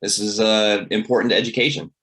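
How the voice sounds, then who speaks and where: A man's voice: on the low side, steady tone, fast talker, a bit bright. A man speaking casually as a participant in a panel discussion on a video call.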